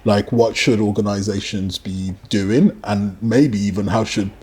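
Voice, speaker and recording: Husky low-voiced male podcast host. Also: steady tone, measured pace, a little mellow. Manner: probing, rephrases questions while speaking. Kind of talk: casual discussion.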